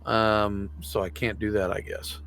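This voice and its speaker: A man's voice: lowish voice, level tone, measured pace, fairly bright tone, poor audio. A man talking casually as a player in a tabletop roleplaying game, informal in his way of speaking.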